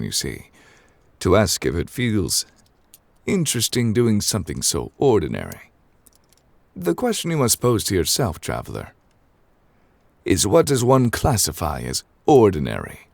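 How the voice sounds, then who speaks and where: A man playing an ancient, courteous, absent-minded god in an ASMR roleplay, speaking formally in a low, gravelly voice with hesitant pauses. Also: dry recording, exaggerated voice. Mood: philosophical, musing. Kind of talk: ASMR audio roleplay, improvised in-character monologue. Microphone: close, dry microphone.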